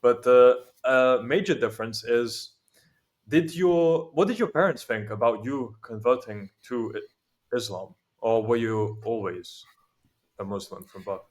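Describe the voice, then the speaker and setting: A man's voice: mid-range voice, unhurried. Lithuanian-born guy who grew up in london, asking interview questions, friendly and upbeat, mid voice.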